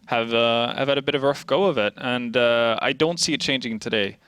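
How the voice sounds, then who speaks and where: A man's voice: mid-range voice, brisk, a bit bright, smooth, dry recording. A man from Norway working as an esports analyst, talking at length with lots of fillers. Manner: talkative, with many "uh" and "you know" fillers. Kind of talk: studio desk analysis chat.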